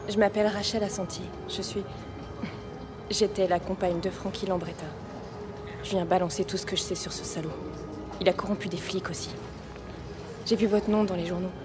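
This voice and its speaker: A woman's voice: mid-range voice, fairly even intonation, moderate pace, long pauses, music in the background, lightly acted. An actress playing a determined, bitter gangster's ex-girlfriend in a French audio drama, grave and direct. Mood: bitter, resolved.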